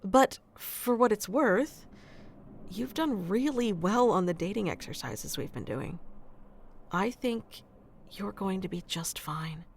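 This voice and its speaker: Slow, tender female AI coach played by a woman. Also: mid-range voice, animated, a little hiss or hum. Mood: soothing, encouraging. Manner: tender, reassuring, speaks softly to one listener. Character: sweet, nurturing female AI relationship coach, protective and gentle. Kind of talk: scripted ASMR roleplay.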